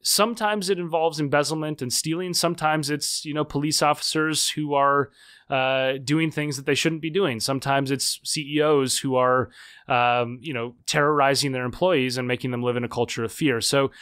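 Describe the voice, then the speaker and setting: A man's voice: on the high side, steady tone, normal speed, fairly bright tone, smooth, dry recording, studio quality. A man, an author and political scientist, answering interview questions in an articulate, earnest way with frequent fillers. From United States.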